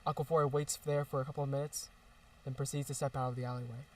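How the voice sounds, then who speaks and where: A man narrating a fantasy audio drama in a steady storybook manner. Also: medium pitch, rapid-fire, distant mic. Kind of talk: scripted narration.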